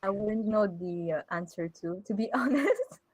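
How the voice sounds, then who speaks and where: A woman co-hosting a radio program, soft-spoken and giggly. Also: mid-range voice, expressive, moderate pace, slightly rough, dry recording. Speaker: radio co-host.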